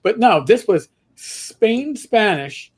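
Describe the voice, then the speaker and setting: A man's voice: high voice, very animated, takes it slowly. A middle-aged man chatting casually as a livestream host, telling stories in a rambling way.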